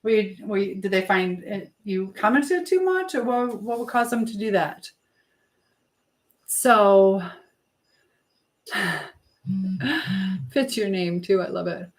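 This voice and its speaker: A woman talks casually as the host of a live stream, at a middling pitch. She rambles from one thing to the next, breaking off to read out and answer comments from viewers. It is loose, everyday conversation with no script. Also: lively intonation, takes it slowly, long pauses. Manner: rambling, hopping between topics and replies.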